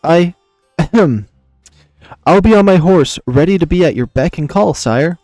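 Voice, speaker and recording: A man's voice: a little high, expressive, a little slow, warm tone, dry recording, studio quality, faint music behind. A man voicing a flustered, lovestruck young beast knight who stammers and hesitates deferentially in a visual novel. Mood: sheepish.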